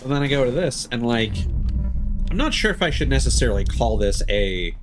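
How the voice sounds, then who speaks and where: A man's voice: mid-range voice, big pitch swings, brisk, soft background music. A man doing casual live game commentary, chatting with viewers and rambling as he thinks aloud. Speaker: live streamer playing a video game.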